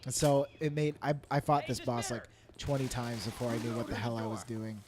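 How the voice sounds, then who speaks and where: A man with a hoarse, failing voice, co-hosting a podcast and rambling casually with jokes and fillers while gaming. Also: mid-range voice, flat delivery, fairly quick. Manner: rambling, joking, frequent fillers. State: losing his voice. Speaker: podcast co-host. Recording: game gunfire noise behind. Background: game gunfire.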